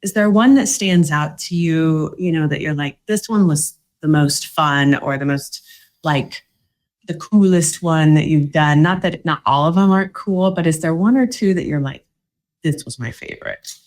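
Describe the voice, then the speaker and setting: A woman's voice: low-pitched, moderate pace, a little mellow. A woman hosting a podcast interview, chatty, asking long rambling questions. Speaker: podcast host.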